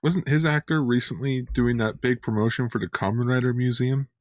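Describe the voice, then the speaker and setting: A man's voice: medium pitch, fairly quick, a little mellow, no echo. A man co-hosting a podcast in casual chat, often repeating words as he gets going. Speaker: podcast co-host.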